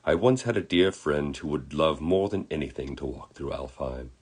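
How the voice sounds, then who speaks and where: A genteel British gentleman from beyond the grave, acted out by a man reading a story. He speaks in a husky voice, courteous and reflective, with a wistful, eloquent turn to his words. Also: a bass voice, lively intonation, moderate pace, exaggerated voice. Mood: musing, wondering. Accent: British, put on. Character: genteel, wistful British dead man, friendly spirit in human body.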